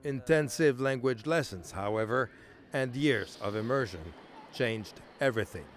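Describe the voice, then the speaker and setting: A male reporter narrating a news feature in a measured, scripted voice-over. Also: mid-range voice, a little hiss or hum. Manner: measured, even storytelling delivery. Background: music bed.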